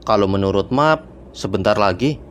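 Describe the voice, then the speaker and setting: A man's voice: on the low side, expressive, normal speed, fairly bright tone, slight background noise. A man voicing an easygoing, slightly boastful young man in a cartoon, speaking casual, slangy Jakarta Indonesian in a matter-of-fact way. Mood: relaxed. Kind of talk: colloquial cartoon dialogue.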